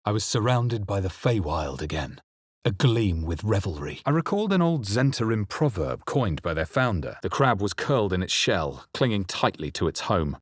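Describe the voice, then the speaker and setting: A man voicing a grave, introspective adventurer who narrates his own dark fantasy memories for a video game in a deliberate, literary way. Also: on the low side, very animated, slightly rough, no echo, clean studio sound. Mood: solemn. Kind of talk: scripted first-person game narration.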